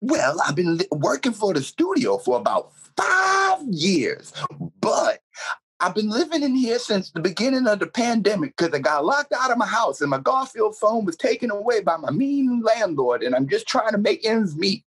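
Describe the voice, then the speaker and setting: A man playing an odd, hapless shed-dweller in his forties, husky and rambling in a comedy radio interview. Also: high voice, sing-song, moderate pace, a bit bright, exaggerated voice. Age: 40s. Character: odd, hapless shaggy 47-year-old man living in a shed.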